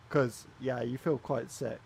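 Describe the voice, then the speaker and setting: Gravelly Welsh man over engine hum. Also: medium pitch, darkish tone. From Wales. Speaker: flight simulator streamer. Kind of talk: casual gaming commentary with chat.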